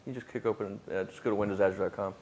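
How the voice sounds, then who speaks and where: A man with a fairly low voice answers audience questions on a conference panel. He talks loosely and informally, filling pauses with "uh", and readily admits what he doesn't know. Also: steady tone, darkish tone, slight background noise. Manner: casual, self-deprecating, frequent fillers. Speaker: product manager presenting on a panel.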